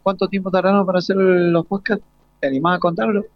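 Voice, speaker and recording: High-voiced Argentine man interviewing, haltingly. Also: little pitch movement, dry recording. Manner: hesitant, halting questions with repeated starts. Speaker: radio interviewer. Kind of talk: informal live interview with students. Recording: slightly dull, lo-fi live broadcast.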